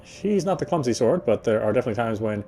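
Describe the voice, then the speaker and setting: A man narrates a visual novel as he plays it, in a mid-range voice. He reads the prose aloud and now and then trips and corrects himself. Game music plays softly behind him. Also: medium pitch, lively intonation, fast. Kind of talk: narration read aloud from a story.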